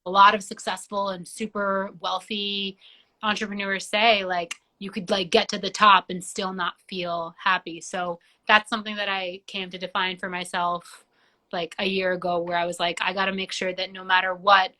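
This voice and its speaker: A young woman from Georgia, whose first language is Georgian, talks as a guest in a relaxed interview. Her voice is bright and carries a middling pitch. She rambles in a chatty way, full of "like". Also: normal speed, low-quality recording. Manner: chatty, many "like" fillers. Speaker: singer-songwriter guest. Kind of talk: casual interview chat.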